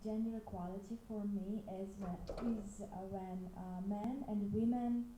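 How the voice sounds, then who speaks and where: Brief: woman from Estonia, slow and mellow, hesitant, distant in an echoey room. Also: mid-range voice, fairly even intonation, slight background noise. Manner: slow, searching for words. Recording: roomy echo, off-mic.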